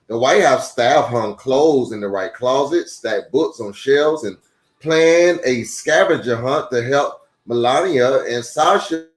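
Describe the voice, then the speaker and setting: A man hosting a children's storytime, reading aloud in an expressive style and speaking directly to his young listeners. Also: fairly high pitch. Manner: addresses young listeners directly with rhetorical questions and exclamations. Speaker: storytime host and pastor reading a children's book. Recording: roomy echo with music underneath.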